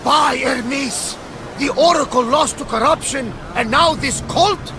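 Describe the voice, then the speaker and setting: An actor playing a hearty, devout older Greek sea captain in a video game, with a gravelly, booming, exclamatory delivery. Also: male voice, unhurried. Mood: indignant, rousing. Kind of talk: dramatic video game dialogue. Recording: noisy outdoor ship ambience behind voice.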